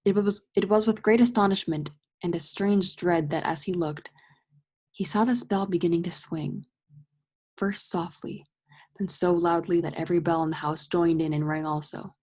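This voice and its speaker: A woman narrating a radio play as a scripted story, husky and measured with dramatic delivery. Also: lowish voice, steady tone, a little mellow.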